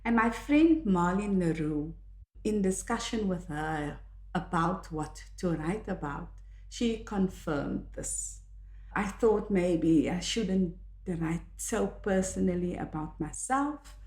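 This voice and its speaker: An older woman from South Africa, a native Afrikaans speaker with a South African accent, reflecting on her own life in a measured, earnest way. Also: fairly low pitch, expressive, a little mellow. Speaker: author and podcast host. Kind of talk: reflective personal monologue. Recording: echoey room.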